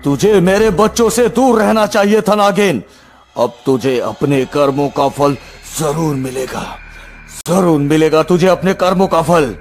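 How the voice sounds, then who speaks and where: A man voicing an agitated, commanding king and protective father in a cartoon fairytale, giving urgent, forceful lines. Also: fairly high pitch, measured pace, soft background music, pitched above the performer's own voice. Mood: angry.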